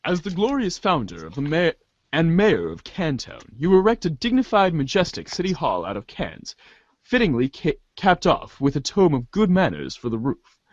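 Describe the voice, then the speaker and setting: A man's voice: a little high, huge pitch swings, moderate pace, no echo, lo-fi sound. A man giving an amateur dramatic reading of narration, theatrical in delivery and now and then stumbling over the text. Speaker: narrator reading a webcomic aloud.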